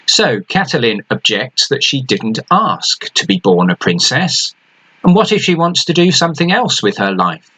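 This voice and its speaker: Author, male, animated storyteller; bright, forceful tone. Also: medium pitch, normal speed, slightly rough, rough recording. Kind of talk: introducing his book and reading aloud.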